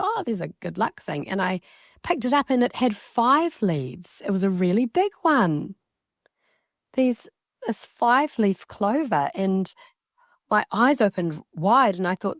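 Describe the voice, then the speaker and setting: A woman interpreting a signer's casual story aloud, in halting, conversational phrases. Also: medium pitch, dry recording, studio quality. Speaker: sign language interpreter voicing a signer.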